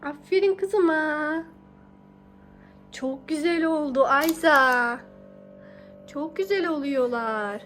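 A woman's voice: high-pitched, takes it slowly, long pauses, a bit bright, slight background noise. A young woman, a mother talking casually and affectionately to her small child, encouraging with pet names and repeated phrases. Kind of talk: casual coaxing talk to a small child while baking.

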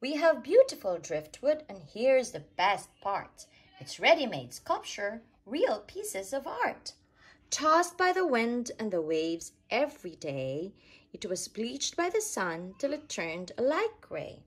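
A woman reading a rhyming children's picture book aloud in an expressive, sing-song storytelling way. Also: on the high side, takes it slowly, breathy edge. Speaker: storytime reader.

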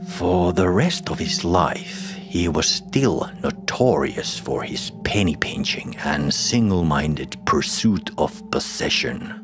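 A man tells a scripted horror folktale in an extremely low voice. His delivery is slow and dramatic, with music playing behind him. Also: lively intonation. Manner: dramatic, deliberate storytelling. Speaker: storyteller.

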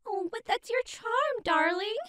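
Flirtatious high-pitched demon diva, played by a woman. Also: expressive, fairly bright tone, dry recording, studio quality, exaggerated voice. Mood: coy, teasing. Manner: theatrical, coquettish, drawn-out endearments. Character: flirtatious, vain demon deity of pleasure. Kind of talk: comic dub voice acting.

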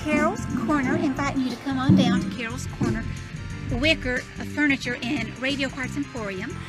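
An actress playing a chirpy female shop owner in a radio advertisement in a video game, with a perky sales pitch. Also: normal speed, loud music behind the voice, natural voice.

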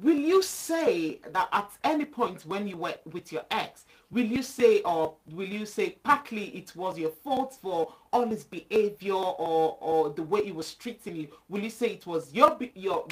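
A woman's voice: mid-range voice, animated, unhurried, few pauses, poor audio. A woman hosting a talk show who reads out viewer comments and speaks to them with encouragement. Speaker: host.

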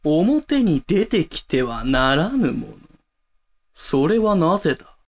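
A man's voice: on the high side, sing-song, slow pace. A man playing a theatrical, fanatical school club president turned villain in an amateur voice drama, grandiose and melodramatic. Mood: menacing.

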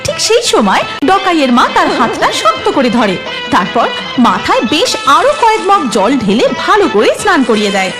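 A woman narrates a scary cartoon tale. Her voice is fairly high and very animated, shaped as dramatic scripted storytelling, with music clearly behind it. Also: brisk.

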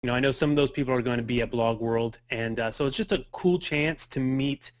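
A man's voice: mid-range voice, fairly quick. A man in his thirties answering interview questions casually as a podcaster guest on a lo-fi video call. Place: home office on a video call. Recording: dull lo-fi video call audio.

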